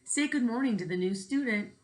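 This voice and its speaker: A woman's voice: on the high side, expressive, a little fast, pitched above the performer's own voice, close to an everyday voice. A woman voicing a kind, instructive female schoolteacher in a storybook. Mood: instructing.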